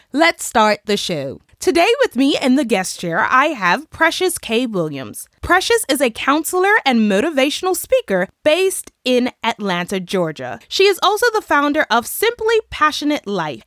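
A woman's voice: high-pitched, a bit bright, no echo, studio quality. A woman hosting a podcast, upbeat and welcoming as she reads her guest's bio and chats. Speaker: podcast host. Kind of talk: casual interview chat.

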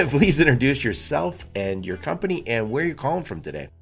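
A man hosting a podcast interview, friendly and quick to jump in with short questions. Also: mid-range voice, sing-song, soft background music. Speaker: podcast host. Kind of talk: conversational interview.

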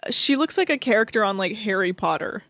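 A woman's voice: medium pitch, animated, fairly quick, a bit bright, no echo. Laughing woman podcast co-host, dry sound. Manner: recaps plot at length, laughs often, crude jokes. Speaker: podcast co-host recapping a reality show. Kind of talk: casual chat.

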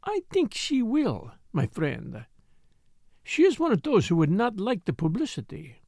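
A man's voice: high voice, huge pitch swings, measured pace, gravelly, warm tone, dry recording, studio quality, voice pushed up, full character voice. A man reading a storybook, voicing a scheming, teasing Parisian woman dancer with a French accent and a purring, insinuating manner. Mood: sly.

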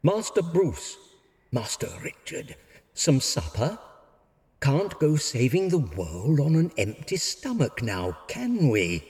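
An actor playing an elderly, loyal butler in an audio drama, refined, formal and politely sardonic. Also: male voice, fairly high pitch, wildly swooping pitch, slow, darkish tone, husky, long reverb tail, heavily acted. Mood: gently fussing.

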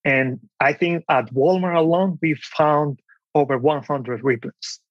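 A man's voice: on the high side, a little slow, dry recording, muffled recording. A young man from the Dominican Republic with a Dominican Spanish accent, telling his story casually as an interview guest. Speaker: podcast guest, online seller.

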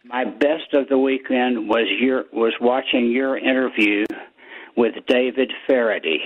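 A man calling in to a radio show, earnestly praising the host and pausing often as he speaks. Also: medium pitch, fairly even intonation. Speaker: caller. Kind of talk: phone call to a radio show. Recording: muffled phone line.

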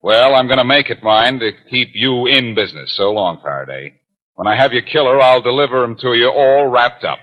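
A glib, husky 1940s radio detective. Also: male voice, medium pitch, expressive, a little fast, a bit bright. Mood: cocky. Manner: glib, breezy, teasing. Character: wisecracking, cocky amateur detective, adult man. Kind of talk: 1940s radio drama acting. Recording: muffled 1940s radio broadcast with music.

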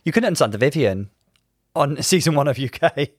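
A man with a British accent giving casual, articulate commentary as a podcast co-host, qualifying his points in a measured way. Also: fairly high pitch, expressive, dry recording, clean studio sound. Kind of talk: casual TV show recap commentary.

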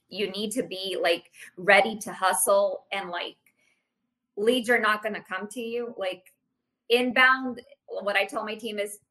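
A woman in her late thirties, a sales manager talking casually as a podcast guest, animated and full of "like". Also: mid-range voice, poor audio. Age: 30s. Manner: animated, uses "like" often. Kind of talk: casual interview chat.

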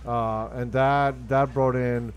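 Male interview guest, Argentine accent, native Spanish speaker, mid-pitched, emphatic and enthusiastic conversational delivery. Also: little pitch movement, slow pace, dull audio. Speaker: guest, restaurant owner.

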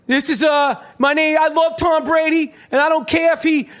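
A man's voice: very high voice, fairly even intonation, moderate pace, pitched above the performer's own voice. A man putting on a brash, cursing Boston sports fan with a Boston accent. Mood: boastful. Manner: crude, shouting, cursing.

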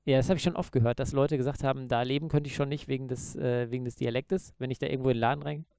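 Gravelly, mellow-voiced man chatting casually. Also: medium pitch, fairly even intonation, rapid-fire, dry recording, clean studio sound. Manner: loose, joking banter. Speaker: podcast co-host. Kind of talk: casual chat.

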